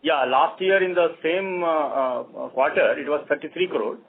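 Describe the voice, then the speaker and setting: A man with an Indian accent, a bank executive answering analysts' questions in a halting, repetitive way. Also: on the high side. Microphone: conference call line. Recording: tinny phone line with clear noise.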